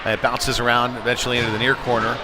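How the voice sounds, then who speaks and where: A man's voice: medium pitch, level tone, very fast, background noise. A man calling a live ice hockey game in an informal, conversational play-by-play style. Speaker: play-by-play sports commentator. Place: sports rink during a live game. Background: loud arena music.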